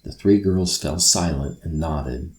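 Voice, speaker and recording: Male storybook narrator, deep and unhurried, in a roomy, echoing space. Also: darkish tone. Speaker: author reading own book aloud. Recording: roomy echo.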